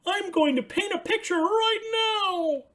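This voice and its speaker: A woman voicing an excitable, fretful young male puppet in a children's storybook, exclamatory and earnest. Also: extremely high pitch, unhurried, pitched above the performer's own voice. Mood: excited, wishful.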